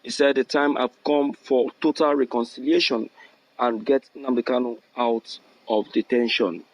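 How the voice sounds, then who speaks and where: A man's voice: mid-range voice, fairly even intonation, normal speed, poor audio. A man giving opinionated news commentary to his listeners, emphatic, repeating phrases and posing rhetorical questions.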